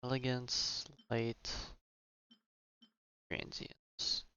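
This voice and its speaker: A man giving casual live-stream commentary on a video game, thinking out loud and reading game text in muttered asides. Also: mid-range voice, monotone, extremely slow, clear, resonant tone, no echo, dull audio.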